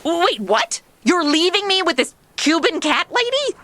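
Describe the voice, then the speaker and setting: A woman's voice: extremely high pitch, big pitch swings, unhurried, noisy background, heavily acted. A woman playing a flippant, sarcastic young American tourist with slangy modern delivery in a comedy audio drama. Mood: exasperated, flippant.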